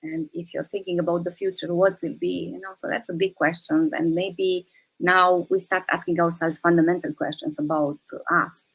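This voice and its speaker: A woman's voice: on the low side, vocal fry, husky, rough recording. A woman from Romania, giving an earnest, thoughtful explanatory talk full of "uh" and "um" and restarts. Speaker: guest speaker, teacher of quantum activism. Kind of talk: explanatory talk in an online session.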